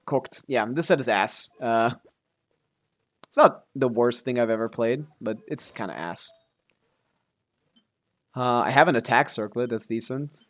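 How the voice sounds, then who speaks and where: A man's voice: medium pitch, expressive, a little slow, long pauses, fairly bright tone. A man casually commentating on a game livestream, swearing freely as he reacts aloud and talks to himself and his chat. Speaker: game streamer.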